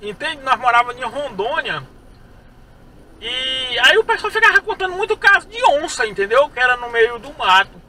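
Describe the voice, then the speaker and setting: High-voiced joking man in a car. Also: big pitch swings, normal speed, bright, forceful tone. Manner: joking, chatty, laughs often. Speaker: ride-share driver dressed as a clown. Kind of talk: casual prank chat with passengers. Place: inside a car at night. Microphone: dashboard camera mic. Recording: distant in-car mic with road noise.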